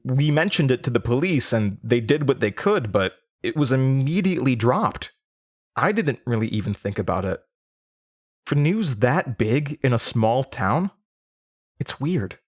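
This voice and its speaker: An actor playing an anxious, haunted young man in an audio drama, speaking in a halting, nervous, stammering confessional monologue. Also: medium pitch, big pitch swings. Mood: puzzled, uneasy. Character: anxious, haunted young Midwestern man recalling traumatic memories.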